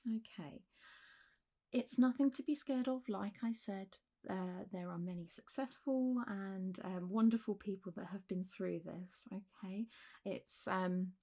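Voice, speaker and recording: The undead physician of a late-night ASMR clinic roleplay, acted by a woman, murmuring mellow, gentle reassurance across the examination couch. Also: mid-range voice. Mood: clinical, calm. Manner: gentle, reassuring, hesitant, slipping into whispers. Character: calm, reassuring zombie doctor running a specialised clinic. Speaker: doctor in a roleplay. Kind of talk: soft-spoken ASMR roleplay.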